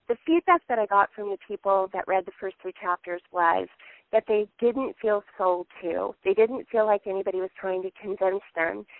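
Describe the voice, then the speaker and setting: A woman's voice: medium pitch, dry recording. A woman speaking on a telephone conference call, giving earnest, conversational business advice and explaining it step by step. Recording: narrow, tinny telephone conference line.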